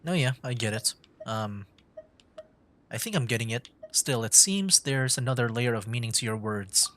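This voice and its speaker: A man's voice: mid-range voice, expressive, normal speed, a bit bright. A man livestreaming a game with casual, laid-back commentary, thinking aloud as he plays. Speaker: game streamer. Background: game music.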